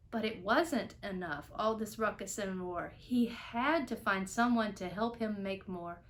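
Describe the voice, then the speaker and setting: A woman reading a children's storybook aloud in an expressive narrating style. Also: medium pitch. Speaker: storybook reader.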